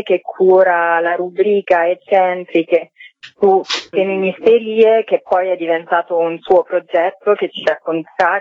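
A woman's voice: fairly low pitch, dry recording. A woman speaks over a narrow, muffled phone line with a dry sound. She is talkative and enthusiastic, answering a radio interview spontaneously as an event organizer. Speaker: festival organizer being interviewed. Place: phone call into a radio programme.

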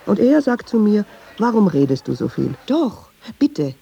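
A chatty, sardonic woman telling a story in a radio play. Her voice is husky and creaky, with lively swings in pitch. She digresses freely in colloquial speech and is quick to take offence. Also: dull audio, exaggerated voice. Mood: indignant. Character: talkative, wry woman storyteller, easily offended.

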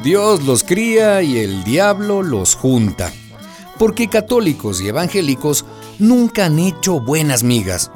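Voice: mid-range voice, very animated, measured pace, a little mellow. A performer playing a wry, folksy storyteller, narrating a radio drama in a playful manner. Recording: music behind the narration.

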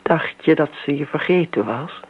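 An actress playing a bitter grown daughter in a Dutch radio play, dry, sarcastic and coolly needling. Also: female voice, low-pitched, lively intonation, normal speed, breathy edge. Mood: cutting. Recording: noisy, narrow-band old radio recording.

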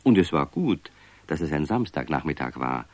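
A man's voice: very deep voice, very animated, normal speed, darkish tone, no echo. A man narrating a scripted children's story as the narrator of a radio play.

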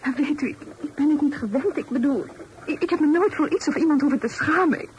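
A woman's voice: sits high, darkish tone, lo-fi sound, natural voice. Proud, sensitive young lady, acted by a woman, faint noise. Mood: upset. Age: young adult. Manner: formal, earnest, halting when upset. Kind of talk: period radio drama acting. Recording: slightly noisy old radio recording.

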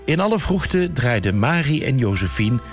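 A man's voice: medium pitch, sing-song, moderate pace, music in the background, close to an everyday voice. A man playing a tongue-in-cheek, mock-solemn storyteller in a comic radio play. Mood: wry. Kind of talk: scripted narration.

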